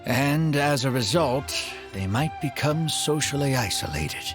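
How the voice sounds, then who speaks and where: A man voicing an elderly, earnest desert dweller in a video game, speaking in a measured, gentle way over loud game music. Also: mid-range voice, animated, natural voice. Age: older.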